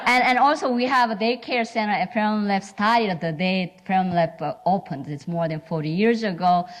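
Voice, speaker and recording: A middle-aged woman from South Korea with a Korean accent gives an informal conference talk in halting, non-fluent English with dropped articles. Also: mid-range voice. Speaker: scientist speaking at a symposium.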